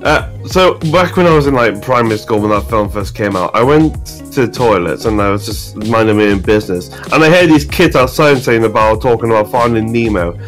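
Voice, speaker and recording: Casting note: young British guy, lively pitch, stammers a lot, faint music behind. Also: a little fast, hardly any pauses. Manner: lively, stammering, self-deprecating. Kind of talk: casual chat.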